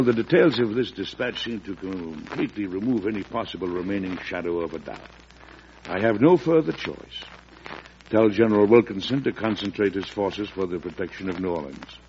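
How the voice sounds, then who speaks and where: Casting: burdened, wry American president, low-pitched and deliberate, vintage radio acting, muffled sound. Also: male voice, a little mellow. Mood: weary, resolute. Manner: measured, weighty, theatrical. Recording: muffled, noisy old radio recording.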